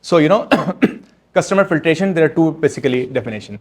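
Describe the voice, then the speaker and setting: A man speaking as an author at a book launch, answering audience questions in a halting, step-by-step way with frequent restarts. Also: fairly high pitch, expressive. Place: event room with audience.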